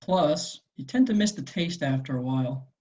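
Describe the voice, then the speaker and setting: A performer reading the part of a friendly, gently teasing man in his late twenties, with a husky voice, at a script table read. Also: medium pitch, animated, normal speed, natural voice.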